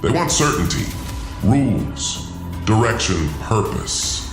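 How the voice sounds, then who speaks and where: Man acting imperious scheming alien overlord, deep and echoing. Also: big pitch swings, slow pace, over music. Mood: contemptuous, zealous. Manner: commanding, contemptuous. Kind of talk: science fiction audio drama acting.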